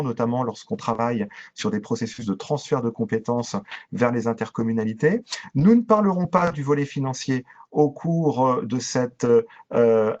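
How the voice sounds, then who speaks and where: A man giving a formal, methodical online training presentation in speech, hesitating very often with drawn-out filler sounds. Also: medium pitch. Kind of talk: explanatory online presentation to local councillors. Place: video conference with shared screen. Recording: dull, lo-fi video-call audio.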